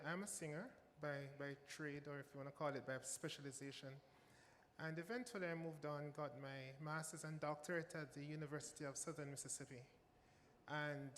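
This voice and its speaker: A man's voice: fairly high pitch, a little hiss or hum. Jamaican man speaking in a large hall. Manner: emphatic, repeats phrases for stress. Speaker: music professor on a panel. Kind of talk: panel remarks. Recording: reverberant hall with faint ambience.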